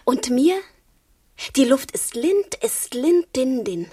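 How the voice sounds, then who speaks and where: Naive adoring girl, high breathy voice, coy, teasing, unhurried, radio play. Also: sing-song, mellow, no echo, clean studio sound, exaggerated voice. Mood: eager. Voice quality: breathy, often near whisper. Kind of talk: radio drama acting.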